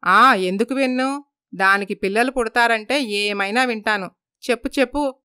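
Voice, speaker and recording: A woman's voice: on the high side, normal speed, bright, forceful tone, clean tone, dry recording, clean studio sound. Woman acting a stern, worried older mother-in-law. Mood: impatient.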